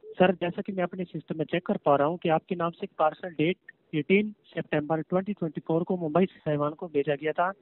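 A man's voice: a little high, steady tone, brisk, no room sound. A man speaking as a scripted call-centre agent on a phone call, formal and repetitive, constantly addressing the listener as sir. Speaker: phone scam caller posing as courier agent. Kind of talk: scripted call-centre customer service talk. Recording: tinny narrowband phone line.

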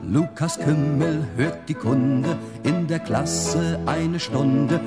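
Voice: mid-range voice, very slow. A verse narrator delivering rhymed interludes in a children's radio play. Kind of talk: slow rhymed verse over music. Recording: loud music with the voice. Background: loud music.

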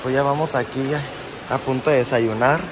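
A man's voice: medium pitch, moderate pace. A young man chatting casually to his viewers as he films himself at a beach resort. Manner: chatty, relaxed, addresses viewers as friends. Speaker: travel vlogger. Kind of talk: casual travel vlog narration. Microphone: phone camera at arm's length. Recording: wind and sea noise outdoors.

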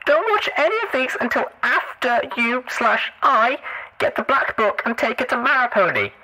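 A woman playing an earnest young female pony adventurer in an audiobook, deliberate and slightly awkward as she reads out a note. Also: on the high side, very animated, sharp tone, full character voice, low-quality recording. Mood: earnest, pleading. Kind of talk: acted audiobook reading.

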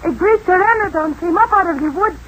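A woman's voice: very high-pitched, quick pace, a bit bright. An actress playing a headstrong, impassioned young girl, dramatic and formally phrased, in an old-time radio melodrama. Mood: awed. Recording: faintly noisy old radio recording.

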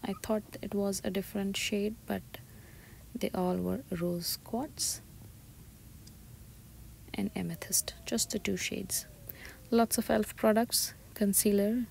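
A woman shopping vlogger giving soft, breathy, often half-whispered ASMR-style commentary as she describes items one by one inside a store. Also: mid-range voice, fairly even intonation, measured pace. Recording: store ambience noise behind soft voice.

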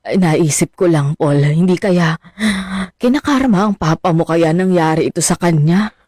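A woman's voice: on the low side, moderate pace, warm tone, no room sound, studio quality, close to an everyday voice. A woman acting a troubled, anxious middle-aged mother in a radio drama.

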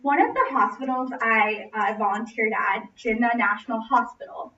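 A woman's voice: fairly high pitch, normal speed, bright, forceful tone. A young woman from Los Angeles with an American accent gives a prepared narrative speech, projecting expressively to a classroom. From Los Angeles, United States. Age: young adult. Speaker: student speaker. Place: classroom, indoors. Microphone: distant camera microphone. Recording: roomy, distant, muffled, noisy camera audio.

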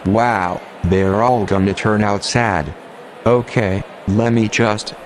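A man's voice: on the low side, steady tone, takes it slowly, slight background noise. A man playing a blunt, scheming musician in a comedy audio drama, with casual, sweary, sarcastic banter. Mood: nervous. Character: blunt, scheming male musician in comeback band.

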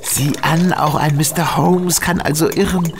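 A man's voice: a little high, fairly even intonation, unhurried, background noise. A man playing an impatient, sceptical police inspector in a German radio play, with a grumbling, sardonic manner. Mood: sceptical, gloating.

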